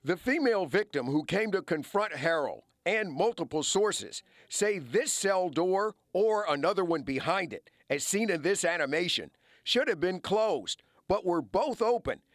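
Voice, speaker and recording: Man, American accent, news reporter, raised pitch, broadcast reporting style. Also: lively intonation, normal speed, no room sound, clean studio sound. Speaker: television news investigative reporter.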